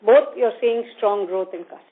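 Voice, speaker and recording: A woman's voice: medium pitch, fairly quick. A woman, a bank executive answering on an earnings call in a businesslike, emphatic way. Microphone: phone conference call. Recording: tinny narrowband phone line.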